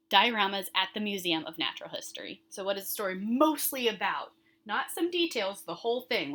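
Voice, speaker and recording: A woman's voice: medium pitch, a bit bright. A woman with a mid-range voice teaches young pupils. She reads questions and answer options aloud, stressing the important words. She talks straight to the children in an instructive way, guiding them through each item. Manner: instructive, addresses children directly, emphasizes key words. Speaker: elementary school teacher.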